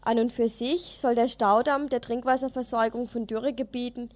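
Female radio reporter, slightly high pitch, scripted news read. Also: fairly even intonation, normal speed, a little mellow.

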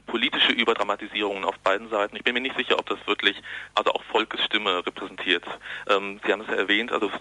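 A man's voice: on the low side, pushed and bright, no echo, low-quality recording. A man answering interview questions as an academic researcher, analytical, often breaking off to rephrase. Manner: academic, halting mid-sentence to rephrase.

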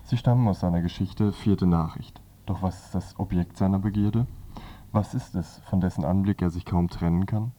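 Low-voiced man reading a radio review. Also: normal speed, warm tone, faint music behind. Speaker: radio presenter reviewing a magazine. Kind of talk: scripted radio review narration.

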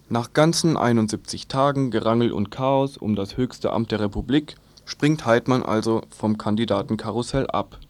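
A man's voice: mid-range voice, darkish tone. A man reading a scripted, satirical news commentary on the radio with an ironic manner.

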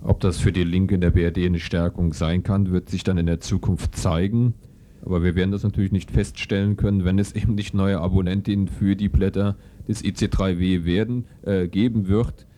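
Deep voiced guy hosting a radio interview, soft dark tone. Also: steady tone, fairly quick.

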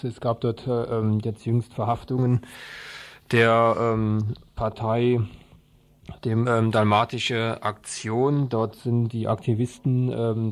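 A man's voice: medium pitch, darkish tone. A man giving a radio news report in a hesitant manner, searching for his words.

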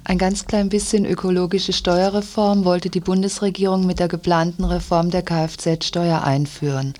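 A woman's voice: lowish voice, steady tone, normal speed, darkish tone, faint music behind. A woman reading a scripted news report for radio in a studio. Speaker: news reader.

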